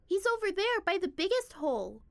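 An excitable little candy girl played by a woman in an audio drama. The voice is extremely high and lively, dry and eager. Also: fairly quick, dry recording, exaggerated voice. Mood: excited, eager.

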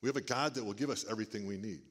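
A middle-aged man with a big booming voice, speaking to a church congregation in a folksy preaching manner. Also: racing, fairly bright tone. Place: church sanctuary. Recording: long reverb of a church hall. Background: church hall.

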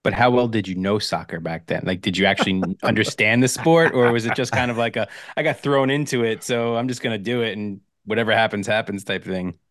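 A man's voice: lowish voice, fairly quick, few pauses, fairly bright tone, no echo, clean studio sound. A man hosting a podcast, putting casual, conversational questions to a guest. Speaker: podcast host, interviewer.

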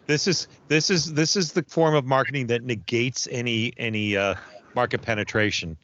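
Dude hosting a podcast, mid voice, halting n mock serious, kinda lo-fi mic. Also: male voice, medium pitch, fairly bright tone, a little hiss or hum. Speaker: podcast co-host. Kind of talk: casual interview with banter. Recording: slightly dull, lo-fi audio.

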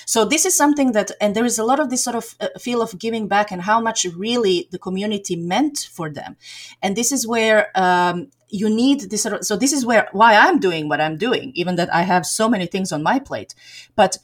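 A woman's voice: mid-range voice, brisk. A middle-aged woman originally from Serbia, answering in a casual interview as a game community organizer, talking in a chatty way with many fillers and restarts.

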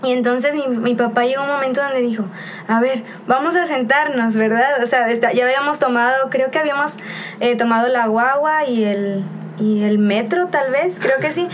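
A young woman with a Mexican accent from Xalapa tells stories in a chatty way, circling back with fillers and restarts. Her voice sits a bit high for a woman. A faint hiss runs under the recording. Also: normal speed. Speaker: missionary guest. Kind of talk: casual conversation on a podcast.

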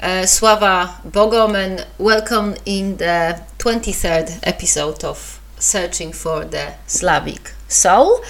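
Woman, Polish accent, podcast host, slow unhurried delivery, lowish voice, hesitant with fillers. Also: gravelly, fairly bright tone. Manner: hesitant, many fillers and restarts, self-deprecating. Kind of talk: casual solo podcast talk.

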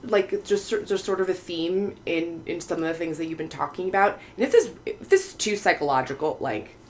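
A woman's voice: lowish voice, lively intonation, a little fast. A woman hosting a conversational interview, putting reflective questions in careful, tentative phrasing. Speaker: podcast host.

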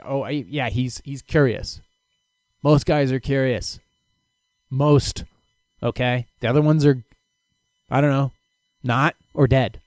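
A man riffs through listener questions on his own podcast, blunt and animated, with plenty of cursing and false starts. His voice sits at an ordinary middle pitch. The sound is dry and close, with no room echo. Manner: blunt, animated and profane, with many restarts. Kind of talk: casual solo podcast advice.

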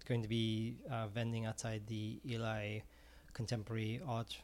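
A man's voice: lowish voice, monotone, moderate pace. A middle-aged man from Israel, an ice cream vendor and former music teacher, talking earnestly in an interview and often repeating words as he searches for phrasing. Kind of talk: casual interview talk.

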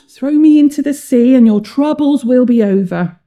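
A woman's voice: extremely high pitch, steady tone, darkish tone, voice pushed up. A woman voicing a grumbling, self-pitying man, a reluctant prophet who whines and groans in a storybook reading. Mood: glum confession. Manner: whiny, groaning, drawn-out complaint.

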